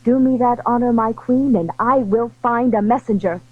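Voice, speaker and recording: A woman's voice: on the high side, moderate pace, no room sound, close to an everyday voice. A woman playing an earnest, emotional young lady of a royal household in a theatrical audio drama. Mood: devoted, reassuring. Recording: slightly dull 1980s audio drama recording.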